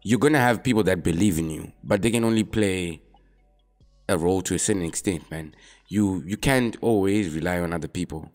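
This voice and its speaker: Young rapper, low-pitched and easygoing, talking straight to camera over soft music. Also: male voice, fairly quick. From Zimbabwe. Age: young adult. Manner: relaxed, laid-back, drops in "man" often. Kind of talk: casual talk to camera answering questions.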